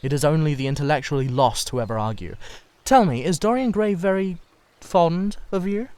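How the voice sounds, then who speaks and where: A man's voice: fairly high pitch, moderate pace, darkish tone, no room sound, pitched above the performer's own voice, lightly acted. Guy doing a witty victorian lord in a storybook reading, smug and languid, theatrical, talks in epigrams. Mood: teasing. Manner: theatrical, epigrammatic, self-satisfied. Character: witty, languid, cynical Victorian gentleman aristocrat. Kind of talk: dramatised classic novel reading.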